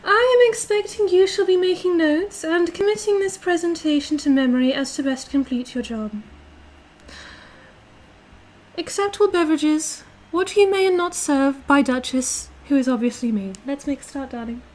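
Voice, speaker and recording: A woman's voice: high voice, a little mellow, close to an everyday voice. A British woman playing a bossy, condescending aristocratic girlfriend called a duchess, speaking imperiously to her livestream viewers. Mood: gracious, condescending. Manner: imperious, pompous, condescending, drawn-out emphasis. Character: haughty, bossy aristocratic dominant girlfriend calling herself Duchess. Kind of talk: in-character roleplay livestream, reading and answering chat.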